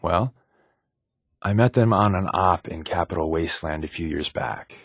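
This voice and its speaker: A man's voice: sits low, moderate pace, creaky, breathy edge, no room sound, heavily acted. A man with an American accent playing a wry, evasive spy companion in a video game, talking conversationally with hesitant asides. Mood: dismissive.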